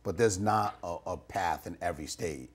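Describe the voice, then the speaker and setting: A man's voice: low voice, normal speed, slightly rough. A middle-aged man hosting a studio interview, talking in a loose, rambling way full of restarts. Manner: rambling, many restarts and asides. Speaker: talk show host. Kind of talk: casual interview chat. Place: small TV studio with curtain backdrop.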